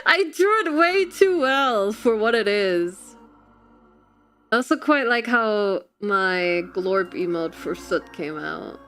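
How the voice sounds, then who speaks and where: A woman's voice: mid-range voice, lively intonation, slow, fairly bright tone. A woman livestreamer chatting casually and expressively with her audience in a giggly, chatty manner. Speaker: livestream host and artist. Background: background music.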